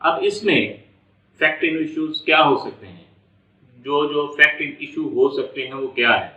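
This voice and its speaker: A man's voice: on the high side, normal speed. A man with a Pakistani accent giving an explanatory law lecture, repeating and rephrasing step by step and mixing in English legal terms. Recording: echoey room, distant dull mic.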